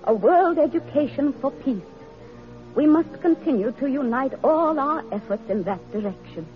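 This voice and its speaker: A woman's voice: sits high, expressive, normal speed. An actress playing a principled, determined woman social reformer in a radio drama, speaking earnestly and firmly. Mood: thoughtful. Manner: earnest, firm, declarative. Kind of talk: vintage radio drama acting. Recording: muffled vintage radio, music behind.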